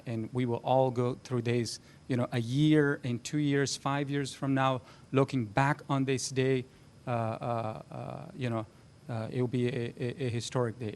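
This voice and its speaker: Halting Afghan-born man at a podium. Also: medium pitch, slight background noise. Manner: halting, many fillers and repeats. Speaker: sheriff's deputy. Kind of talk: public remarks at a meeting. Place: county commission chamber.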